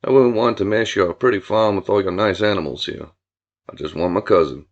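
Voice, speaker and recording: Man putting on a low, drawling, swaggering gang boss. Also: brisk, lo-fi sound, close to an everyday voice. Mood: cocky, mock-polite. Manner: drawling, mock-courteous, domineering. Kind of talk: audiobook character voice.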